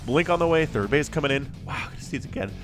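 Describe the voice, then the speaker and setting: A man's voice: on the high side, expressive, fast talker, over music. A young man with a sniffly cold, giving chatty solo commentary on a strategy game and wandering off on jokey tangents. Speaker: StarCraft shoutcaster.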